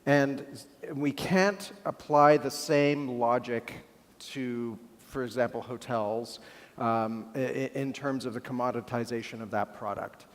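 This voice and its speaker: A man's voice: medium pitch, steady tone, a little slow. Hesitant male panelist in a big hall. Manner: hesitant, many fillers and restarts, emphatic. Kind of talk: conference panel discussion. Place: large conference hall. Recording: hall reverb.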